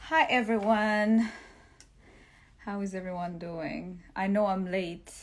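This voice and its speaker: A woman's voice: mid-range voice, steady tone, slow pace. A woman hosting a casual online live chat. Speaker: host of a live online talk.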